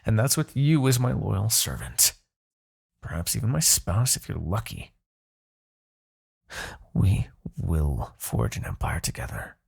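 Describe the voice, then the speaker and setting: Man voicing a gravelly, husky demon king. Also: fairly low pitch, heavily acted. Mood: smug, coaxing. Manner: theatrical, deliberate, swinging from murmurs to outbursts. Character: arrogant, possessive male demon king, obsessed with captive hero. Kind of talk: ASMR roleplay, dramatic monologue.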